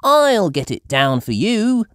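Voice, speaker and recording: A storyteller voicing an excitable little girl piglet, bossy and cheerful, in a children's storybook. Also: medium pitch, slow, no room sound, studio quality, voice pushed up. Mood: eager, concerned. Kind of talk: children's storybook read aloud.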